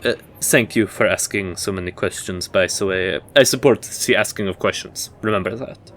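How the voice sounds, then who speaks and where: A man playing a measured, scholarly university professor who lectures formally and deliberately in a fantasy audio roleplay. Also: lowish voice, a bit bright, a little hiss or hum. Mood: patient, dry. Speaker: professor giving a history lecture.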